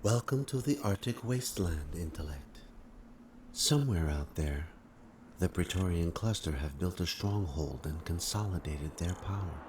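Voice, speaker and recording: Low-voiced solemn male machine intelligence. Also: lively intonation, background noise. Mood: briefing. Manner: formal, grave, measured. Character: calm, solemn, zealously protective male satellite artificial intelligence. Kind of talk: scripted video game acting.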